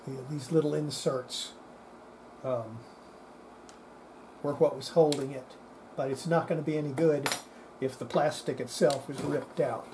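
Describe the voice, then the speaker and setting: A man giving an unscripted hands-on repair demonstration, talking haltingly and half to himself as he works. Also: a little high. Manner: halting, muttering to himself while working. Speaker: hobbyist restorer presenting a repair. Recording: steady background noise.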